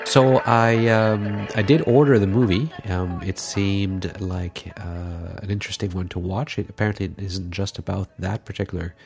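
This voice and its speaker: Congested man with a gravelly voice. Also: sits low, fairly even intonation, a little mellow, faint music behind. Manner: halting, many fillers. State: congested from a cold. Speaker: podcast host. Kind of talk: casual podcast chat.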